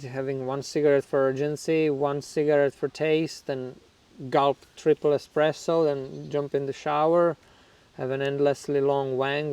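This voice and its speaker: A man with a Czech accent, a native Czech speaker, hosting his own channel and talking frankly and reflectively to camera, with small grammar slips and a few soft laughs. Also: medium pitch, clean tone. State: somewhat nervous on camera. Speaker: channel host. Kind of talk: candid talk to camera about recovery.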